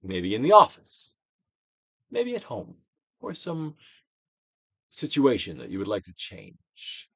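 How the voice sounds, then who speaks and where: A man giving a dramatic, deliberate reading of a self-help book as a guided exercise, with pauses and big swells. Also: mid-range voice, wildly swooping pitch, vocal fry, fairly bright tone, slightly rough, dry recording. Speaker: audiobook reader and actor.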